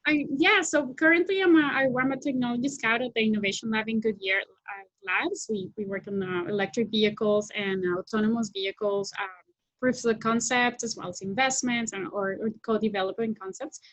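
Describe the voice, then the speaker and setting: A woman in her thirties who grew up in Colombia talks over a laptop mic from a room at home. Her voice sits on the high side, and the sound is dry and close. She talks with eager energy and stops to restart her sentences often. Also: fairly quick, fairly bright tone, dry recording, low-quality recording. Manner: enthusiastic, many restarts and fillers. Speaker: guest, technology scout. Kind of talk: video call interview. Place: home room with window, indoors. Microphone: laptop webcam mic on video call.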